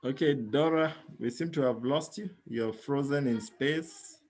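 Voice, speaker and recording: A man's voice: medium pitch, a little slow, darkish tone. A man hosting an online business talk, persuading guests and often asking "yeah?". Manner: persuasive, calls for agreement with "yeah?". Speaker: host.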